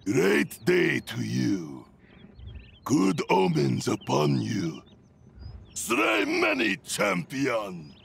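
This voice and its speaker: An actor playing a boisterous, bloodthirsty oni demon warrior for a video game, with a raspy, theatrical, menacing delivery. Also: male voice, mid-range voice, wildly swooping pitch, drawn-out words, a bit bright, full character voice. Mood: grand approval. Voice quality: raspy, gravelly. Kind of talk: video game character voice lines.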